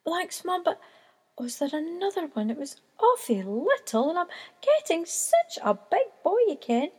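A woman's voice: very high voice, big pitch swings, normal speed, a little mellow, voice pushed up. A woman with a Scottish accent voicing a young male seagull chick who is hungry and whining, in a pleading, wailing way.

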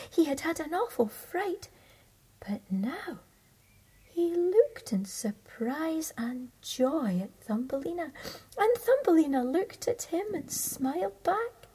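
A woman narrating a fairy tale in a soft, mostly unvoiced whisper, slow and gentle. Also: high voice, husky, poor audio. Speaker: storyteller.

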